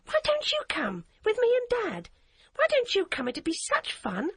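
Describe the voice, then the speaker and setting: A woman's voice: very high voice, lively intonation, a little fast, fairly bright tone, no room sound, clean studio sound, voice pushed up. A woman voicing an earnest, anxious eight-year-old girl who talks in an eager rush. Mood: pleading. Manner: eager, breathless rush of words.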